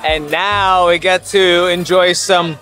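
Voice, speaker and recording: Upbeat guy vlogging on a cruise, kinda high voice, music and crowd loud behind. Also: little pitch movement, slow pace. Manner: upbeat, laughing often. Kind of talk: casual vlog chat. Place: busy cruise ship restaurant and lounge. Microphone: handheld camera.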